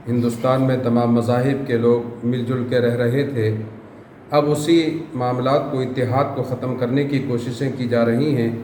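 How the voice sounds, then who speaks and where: Male community leader from southern India, average pitch, formal appeal to the public, faint noise. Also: mellow. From Karnataka, India. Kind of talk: public statement to media. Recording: roomy echo, faint background noise.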